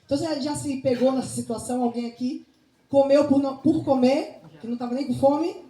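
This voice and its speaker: Female speaker, Bahian accent, informal instructive talk with frequent check-ins; mellow tone, echoey room with faint noise. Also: sits high, steady tone, quick pace. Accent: Bahian Brazilian. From Salvador, Bahia, Brazil. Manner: didactic, often seeking agreement with tag questions. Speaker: nutrition speaker and missionary. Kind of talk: informal public talk with religious touches. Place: indoor talk to a women's group.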